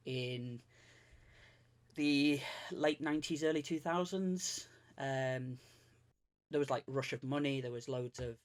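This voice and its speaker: A man's voice: mid-range voice, fairly even intonation, slow pace, pauses often. A man, chatting casually as a guest, rambling and self-deprecating with lots of fillers and restarts. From Greater Manchester, England. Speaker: streamer guest. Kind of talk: casual podcast chat.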